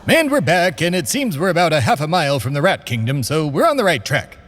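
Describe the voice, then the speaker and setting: An actor playing a pompous, amoral billionaire who embodies America and hosts a comedy audio drama, with formal, theatrical diction. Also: male voice, fairly high pitch, sing-song, quick pace, fairly bright tone. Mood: pompous, breezy. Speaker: show host. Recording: reverberant hall, music behind.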